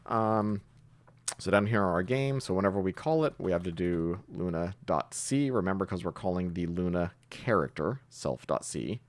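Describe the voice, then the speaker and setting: Very deep-voiced man giving a coding tutorial. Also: expressive, moderate pace, no room sound. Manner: informal, thinking aloud step by step. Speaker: programming tutorial host. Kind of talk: casual screencast coding tutorial, explaining while typing.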